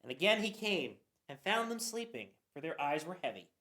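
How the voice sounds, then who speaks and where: A woman's voice: low-pitched, sing-song, normal speed, a bit bright. A woman narrating scripture for a church passion play reading. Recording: roomy echo.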